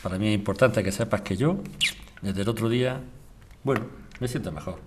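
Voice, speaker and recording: A man's voice: lowish voice, brisk, a little mellow, a little hiss or hum, lightly acted. A man acting an earnest, apologetic married suitor in an amateur radio drama. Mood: earnest, smitten.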